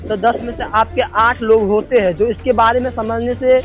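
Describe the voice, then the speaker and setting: A man's voice: very high voice, fairly even intonation, moderate pace. A man speaking as an activist founder on a phone call, earnest, exhorting and repeating himself. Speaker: movement founder. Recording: live call recording with music behind.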